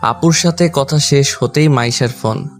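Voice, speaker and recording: A man's voice: mid-range voice, measured pace. Man narrating a story over music. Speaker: narrator and lead character of an audio love story. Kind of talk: scripted first-person story narration. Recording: background music under the voice.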